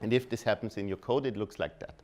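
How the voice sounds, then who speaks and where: Low-pitched Austrian man presenting. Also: a little mellow. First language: German. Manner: informal, halting, often rephrasing mid-sentence. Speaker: conference speaker. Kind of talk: technical presentation at a meetup.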